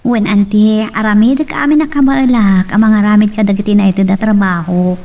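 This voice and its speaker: A woman's voice: medium pitch, steady tone, brisk, warm tone, natural voice. A woman playing an earnest, hopeful young office clerk in an audio love drama, speaking with an Ilocano accent. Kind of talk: Ilocano radio-style love drama acting. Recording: distant mic with faint noise.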